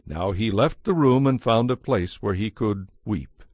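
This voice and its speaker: Male narrator for audio Bible: lower pitch, scripted storytelling, dry close recording. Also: measured pace, darkish tone, no echo.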